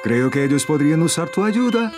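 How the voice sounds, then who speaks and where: An actor playing a patient, encouraging young father who explains gently in a children's cartoon dub. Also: on the high side, animated, a little fast, music in the background, close to an everyday voice. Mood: playful, encouraging.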